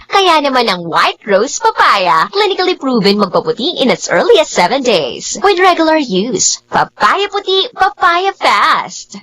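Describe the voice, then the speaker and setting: A Filipino-accented woman reading a radio advertisement as a perky, chatty sales pitch. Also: a bit bright.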